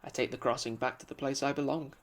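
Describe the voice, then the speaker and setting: A man's voice: mid-range voice, fairly even intonation, fast, natural voice. A man playing a young, dreamy soldier in a radio drama, meek and homesick. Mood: wistful.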